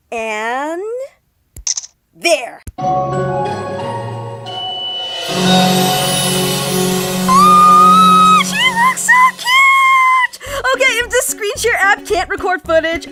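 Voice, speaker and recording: Excitable young female gamer-vlogger for audio drama: high, lively and swooping, chatty and gushing, over music. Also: no room sound, exaggerated voice. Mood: squealing delight. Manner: gushing, giggly, swooping into squeals. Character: bubbly, excitable young female vlogger and monster-game fan. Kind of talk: audio drama acting as a chatty livestyle vlog.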